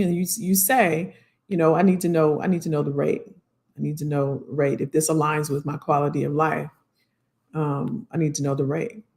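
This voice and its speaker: An American woman hosting a casual live career Q&A, chatting familiarly with viewers in folksy slang and repeating her phrases, her voice slightly hoarse. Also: sits low.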